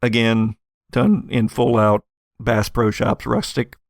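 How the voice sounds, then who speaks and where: Male game master, mid-pitched with some fry, improvised tabletop narration, conversational and halting. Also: little pitch movement, no echo, studio quality. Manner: halting, conversational, prone to chuckling.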